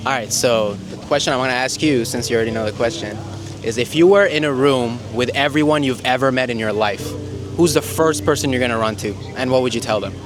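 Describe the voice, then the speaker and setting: A man's voice: mid-range voice, fast. A man doing casual street interviews in an informal, slangy, encouraging way. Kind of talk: casual vox-pop interview chat. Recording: music laid under the voice.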